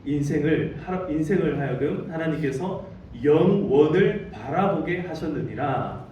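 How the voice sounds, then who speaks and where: Pastor guy from daegu preaching, kinda high voice, super echoey church, mic far off. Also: lively intonation, measured pace, mellow, dull audio, a little hiss or hum. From Daegu, South Korea. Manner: storytelling, asks the congregation questions. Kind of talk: sermon. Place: church sanctuary. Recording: echoey sanctuary, distant mic.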